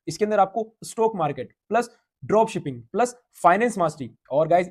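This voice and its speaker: Man, promoter, fast salesy patter, high voice. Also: darkish tone. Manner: hyped, repetitive salesman patter. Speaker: promoter explaining a referral income scheme.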